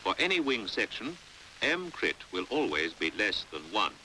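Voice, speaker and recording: Bright, crisp British male documentary narrator, hissy film. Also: on the low side, sing-song, rough recording. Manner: measured, precise, didactic. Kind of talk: scripted 1950s educational film narration. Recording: hissy 1950s film soundtrack. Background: faint hiss.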